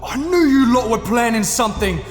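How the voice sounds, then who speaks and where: A very high, shrill male gnome king, blustering and paranoid in a fantasy radio play. He rings through a reverberant hall with music underneath. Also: animated, fairly quick. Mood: alarmed, outraged. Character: paranoid, tyrannical male Nome King terrified of chickens. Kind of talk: audio drama acting.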